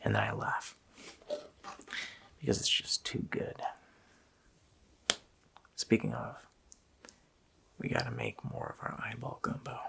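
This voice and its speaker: A man voicing a gloating, child-eating Christmas demon in a gravelly, breathy whisper, drawling casually and menacingly in an ASMR roleplay. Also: a bass voice, wildly swooping pitch, slow, pauses often, exaggerated voice. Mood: gleeful, menacing.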